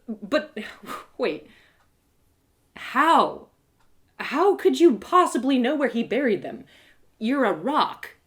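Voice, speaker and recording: Woman acting an excitable, high-pitched young heroine. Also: slow pace, long pauses, exaggerated voice. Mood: puzzled, urgent. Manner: breathless, stammering, exclaiming. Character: excitable young heroine, earnest and wide-eyed. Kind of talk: live storybook reading.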